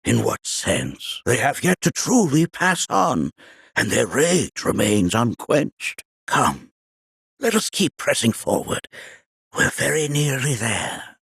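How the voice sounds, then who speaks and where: An ancient, kindly village elder for a video game. His husky, creaking voice swoops dramatically up and down. He speaks in formal, old-fashioned phrases, as a wise mentor telling solemn tales. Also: medium pitch, sing-song, a bit bright, no echo, clean studio sound, full character voice. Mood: amused, pensive. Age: older. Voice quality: raspy, creaky. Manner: formal, archaic, measured storytelling with dramatic flourishes. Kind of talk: theatrical video game voice acting.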